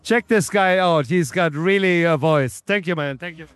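Male reporter, high register, informal interview questions, very little room sound. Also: moderate pace, a bit bright, clean tone, no echo. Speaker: radio interviewer. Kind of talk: casual on-location interview.

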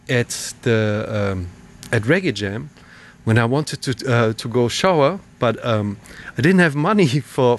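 Male presenter, middle register, loose conversational radio links. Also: medium pitch. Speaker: radio show host. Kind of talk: casual, unscripted presenting.

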